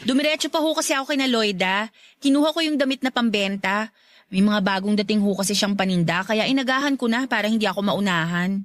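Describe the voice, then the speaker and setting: A woman's voice: mid-range voice, quick pace, dry recording, lightly acted. A woman playing a young adult daughter in a Filipino radio drama, polite yet earnest and defensive. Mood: apologetic, explaining. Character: young adult daughter, respectful but stubbornly defending her love.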